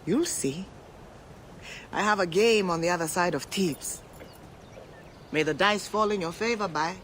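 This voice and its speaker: An actor playing a brash, roguish dice gambler in a video game, boisterous and sardonic. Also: male voice, sits high, a little slow. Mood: wry, resigned. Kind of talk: video game character acting.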